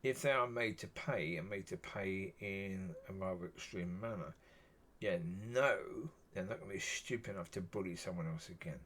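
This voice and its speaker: A man with a husky voice, talking alone in a rambling, musing way and often saying "yeah". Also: low-pitched, normal speed, a bit bright. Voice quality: husky, gravelly. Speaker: solo video talker. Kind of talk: rambling solo monologue.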